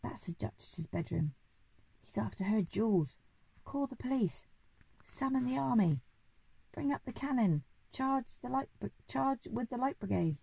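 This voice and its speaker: A woman voicing an excitable old aristocratic Duke in a storybook, with pompous, old-fashioned exclamations. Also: lowish voice, normal speed, vocal fry, husky, no room sound, full character voice, poor audio. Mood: alarmed, commanding.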